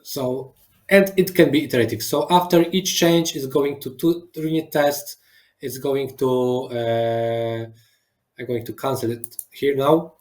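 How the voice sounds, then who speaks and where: A man whose first language is Polish, speaking over a video call with halting phrasing and frequent restarts as a guest engineer in a webinar. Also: medium pitch, fairly even intonation, darkish tone. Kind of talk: live webinar demo and Q&A.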